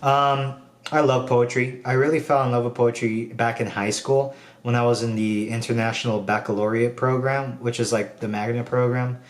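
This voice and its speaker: A young man from the Philippines speaks casually into his camera at home, wandering through his thoughts with plenty of ums and likes. His voice sits at an ordinary middle pitch. The room around him is bare and echoey, so his words bounce back. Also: normal speed, recorded from a distance. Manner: relaxed, rambling, frequent um and like fillers. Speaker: video diarist. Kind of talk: casual personal vlog.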